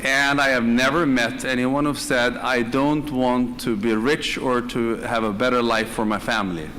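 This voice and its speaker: A man with a Swedish accent speaks off the cuff on a panel, in a medium-pitched voice. He pauses often mid-phrase as he tells his story. The live recording carries audible background noise. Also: fairly even intonation, fairly bright tone, big-room sound, low-quality recording. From northern Sweden. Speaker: conference panelist, direct selling executive. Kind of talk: unscripted personal storytelling on a panel. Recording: live conference room, clear background noise.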